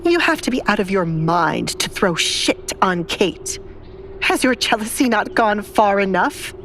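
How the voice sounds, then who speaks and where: A woman's voice: mid-range voice, animated, measured pace, a bit bright. A woman voicing an angry, haughty middle-aged stepmother in an audio drama, indignant and scornful, with light background noise.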